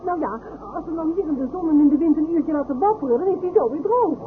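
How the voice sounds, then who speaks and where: An actress playing a lively, affectionate wife in a family radio comedy drama. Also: female voice, sits high, fast talker. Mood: matter-of-fact. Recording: muffled hissy old radio play recording.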